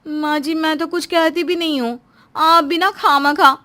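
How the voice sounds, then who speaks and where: A woman's voice: high voice, fairly even intonation, a little slow, sharp tone, voice pushed up. A woman voicing an innocently tactless, cheeky young daughter-in-law in a cartoon moral story, chatty and outspoken. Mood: blithely tactless.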